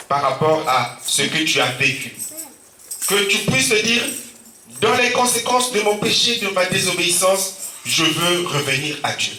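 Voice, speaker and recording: Echoey preaching pastor with a cold. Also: male voice, sits high, sharp tone, a little hiss or hum. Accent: Central African French. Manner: preaching with frequent amen and alleluia calls. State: sore throat and a cold. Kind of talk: sermon. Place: church hall. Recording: echoey church from a distance.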